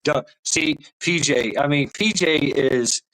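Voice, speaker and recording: A man's voice: fairly high pitch, slow, fairly bright tone, dry recording, rough recording. Rambling tipsy livestream host, a man. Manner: rambling, tipsy, lots of laughs and fillers. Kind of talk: casual livestream chat.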